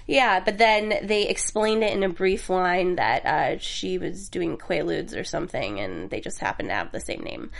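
A woman's voice: fairly low pitch, animated, moderate pace, creaky, hardly any pauses. Chatty woman host with a cold. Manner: chatty, enthusiastic, many fillers. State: congested with a cold. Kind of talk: casual after-show chat.

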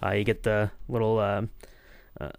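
A man hosting a casual podcast discussion, thinking aloud in a halting way. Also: fairly low pitch, level tone, vocal fry. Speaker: podcast host. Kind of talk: casual discussion, informal chat.

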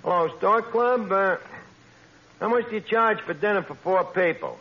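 An actor playing a brash, scheming, lowbrow tavern manager with a New York accent and would-be fancy words, in 1940s radio comedy style. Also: male voice, moderate pace. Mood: haggling. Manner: mangled grammar with pretentious fancy words, hemming. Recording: dull old radio recording, noisy.

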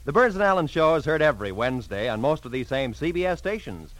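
High-pitched, animated vintage male radio announcer. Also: quick pace, no room sound, low-quality recording. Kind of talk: 1940s network radio announcing.

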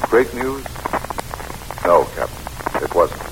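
An actor playing a weary, kindly middle-aged American president in measured, solemn, folksy style in an old-time radio drama. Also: male voice, sits low, big pitch swings, heavily acted. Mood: grave, earnest. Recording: noisy old radio recording.

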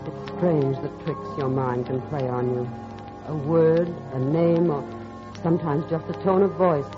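A woman's voice: low voice, fairly even intonation, measured pace. An actress playing a reflective, affectionate stepmother in 1940s radio drama style. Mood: wistful, reflective. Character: warm reflective stepmother recalling her past. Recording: dull old radio recording, music behind.

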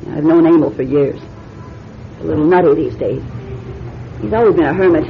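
A woman's voice: sits low, moderate pace, gravelly. A plain-spoken, gossipy landlady in a radio play. She chats in an offhand, folksy, dismissive way. The recording is muffled, with some noise behind. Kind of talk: old-time radio drama acting. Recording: dull, noisy old radio drama recording.

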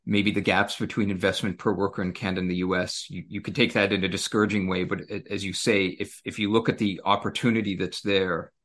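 A native English-speaking man hosting an interview, relaxed and a bit jokey, with many fillers. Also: sits low, quick pace, few pauses. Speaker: interview host. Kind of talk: interview moderating.

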